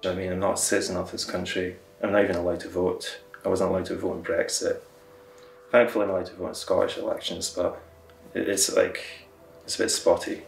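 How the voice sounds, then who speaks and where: A hesitant young man with a very low, gravelly voice, recorded from a distance in a roomy space. Soft music plays under him. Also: flat delivery, fairly quick. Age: 20s. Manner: hesitant, restarts and qualifies. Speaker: interviewee. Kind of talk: documentary interview. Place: indoor room by large windows.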